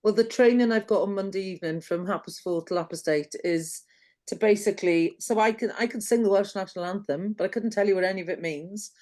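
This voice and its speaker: A woman's voice: medium pitch, fast talker, darkish tone. A woman in a casual church discussion group, agreeable and plain-spoken.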